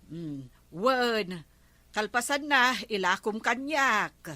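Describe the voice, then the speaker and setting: A woman's voice: fairly high pitch, animated, slow pace, bright, forceful tone, no echo, full character voice. A woman playing a chummy, haggling village customer in a comedy radio drama, bantering with an Ilocano accent.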